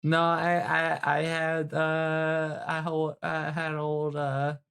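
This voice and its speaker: A woman's voice: low voice, monotone, slow, creaky, a bit bright, clean tone. A woman improvising as a player in a tabletop role-playing game, with a flippant, slangy, hesitant way of talking. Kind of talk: casual improvised in-character chat.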